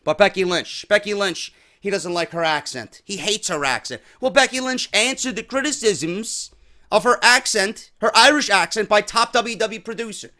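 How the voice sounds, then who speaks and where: A man hosting a wrestling news show, giving blunt, profane opinions and getting loud when worked up. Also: high-pitched, moderate pace. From New York.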